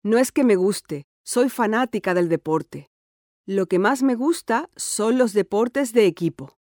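A woman's voice: medium pitch, lively intonation, measured pace, a little mellow, no room sound, studio quality, natural voice. A woman playing a cheerful, sporty sixteen-year-old schoolgirl in a scripted listening-test dialogue, talking in an eager, chatty and confident way. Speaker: interviewee in an exam listening dialogue.

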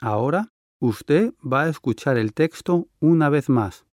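A man reads test instructions in a formal, measured way. His voice sits fairly high for a man and stays lively in pitch. The recording is dry and close. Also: no room sound. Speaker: exam announcer.

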